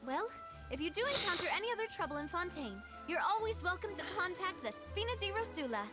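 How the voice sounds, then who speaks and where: A woman's voice: extremely high pitch, very animated, fast talker. A woman playing a spirited, confident young female boss in a video game, speaking in a playful, polite, theatrical manner. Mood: warm farewell. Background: game music.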